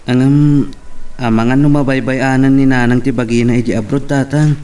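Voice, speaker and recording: Mellow young Ilocano man acted, anxious son. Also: mid-range voice, steady tone, moderate pace, smooth, close to an everyday voice. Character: anxious, dutiful young adult son and older brother. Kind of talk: Ilocano radio-style drama acting. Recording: slightly distant mic.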